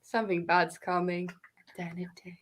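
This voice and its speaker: A woman's voice: lowish voice, flat delivery, normal speed. A woman co-hosting a casual book discussion over a video call, rambling with lots of "like" fillers. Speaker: book podcast co-host.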